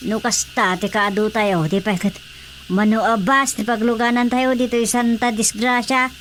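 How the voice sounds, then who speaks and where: A woman's voice: a little high, fairly even intonation, normal speed, a bit bright, close to an everyday voice. A woman playing a fussy, questioning village mother in an Ilocano radio drama comedy, with some background noise. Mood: doubtful, questioning.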